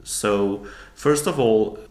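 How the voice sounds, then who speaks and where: A man explaining software testing as a guest in a podcast interview, thoughtful, often restarting his sentences. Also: fairly low pitch, extremely slow, echoey room. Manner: thoughtful, with frequent restarts and fillers. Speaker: guest author.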